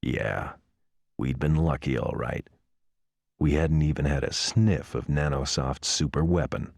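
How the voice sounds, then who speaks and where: A man playing a weary, cynical police pilot in a video game, narrating in a brooding, wry, gravelly voice. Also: very deep voice, a little slow, darkish tone, dry recording, clean studio sound, full character voice. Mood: subdued relief. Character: weary, cynical male police gunship pilot telling his story. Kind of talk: scripted noir-like narration. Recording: clearly noisy, vehicle-like ambient hum behind.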